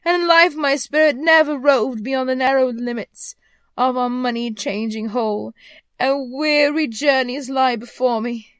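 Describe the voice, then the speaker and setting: A woman plays a dead businessman's ghost from a storybook, tormented and full of remorse. The voice is pitched high and closely recorded, with a dry sound. It wails and declaims slowly, stretching out each phrase. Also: fairly even intonation, fairly bright tone, clean tone, no echo. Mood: solemn, foreboding. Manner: wailing, slow, drawn-out declamation. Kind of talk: dramatic storybook character reading.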